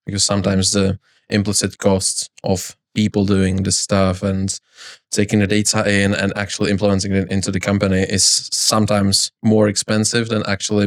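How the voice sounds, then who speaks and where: A man's voice: fairly low pitch, no echo, clean studio sound. A man with a Czech accent, talking casually and explaining things as a guest in a roundtable. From Czech Republic. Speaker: podcast guest, startup founder.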